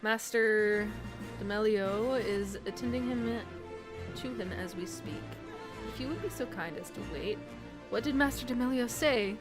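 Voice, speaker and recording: A woman voicing earnest fantasy game characters in a formal, courtly, measured manner. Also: fairly high pitch, over loud music, natural voice.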